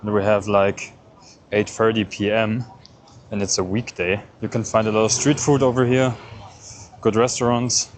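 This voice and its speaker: A man's voice: on the low side, flat delivery, normal speed, a little hiss or hum. A man whose first language is German, narrating a casual travel vlog and explaining each step as he goes. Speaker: travel vlogger. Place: airport and busy city street.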